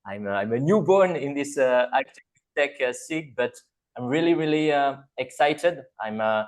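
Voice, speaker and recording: A man with a French accent, a native French speaker, co-hosting a video call and talking with many pauses and restarts. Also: mid-range voice, lively intonation. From France. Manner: halting, many hesitations and restarts. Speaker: co-host. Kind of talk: casual video call chat.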